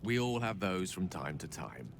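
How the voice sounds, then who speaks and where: A learned, self-assured game wizard with a lowish, very animated voice. He is genial and wordy, with pompous theatrical flourishes, over some background noise. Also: moderate pace, creaky, heavily acted. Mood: breezy, amused. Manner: eloquent, theatrical, pompous phrasing. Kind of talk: video game character acting.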